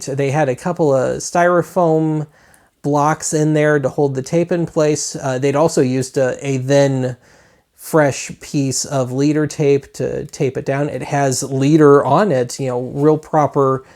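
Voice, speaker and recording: A man's voice: medium pitch, a little mellow. A man hosting a retro media web show, talking casually in a chatty, rambling way with wry asides.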